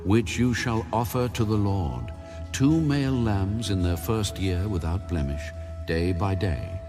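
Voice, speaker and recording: Male scripture narrator, fairly low, measured, solemn, music bed underneath. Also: animated. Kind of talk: formal scripted audiobook-style reading. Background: background music.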